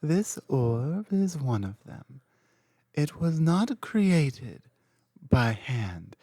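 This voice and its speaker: An ancient dragon queen, voiced by a woman for an ASMR roleplay, dominant yet protective. She speaks slowly and gravely, her pitch swinging widely from low to high. Her tone stays dark and mellow, slipping now and then into soft whispers. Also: sing-song, dry recording, heavily acted. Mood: measured, grave.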